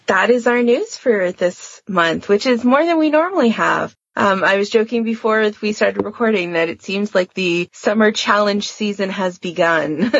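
A woman's voice: medium pitch, a little slow, slightly rough, muffled recording. A woman co-hosting a fan podcast, chatting in an informal, easygoing way. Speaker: podcast host. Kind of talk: casual fandom podcast chat.

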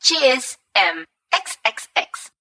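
A woman's voice: very high-pitched, extremely slow, gravelly, pushed and bright, heavily acted. A woman reading a fan fiction story aloud as an upbeat narrator. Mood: cheerful.

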